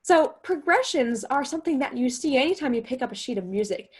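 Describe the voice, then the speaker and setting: A woman's voice: high-pitched, expressive, brisk, husky. A woman giving an instructional guitar lesson, explaining step by step and often posing questions as she goes. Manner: explanatory, talks through steps with rhetorical questions. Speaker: guitar teacher and host.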